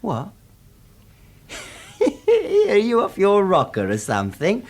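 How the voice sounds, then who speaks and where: An actor with an English accent playing a shifty, cheeky working-class suspect in a classic radio drama, hesitant and evasive. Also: male voice, high voice, big pitch swings, slow, heavily acted. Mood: indignant.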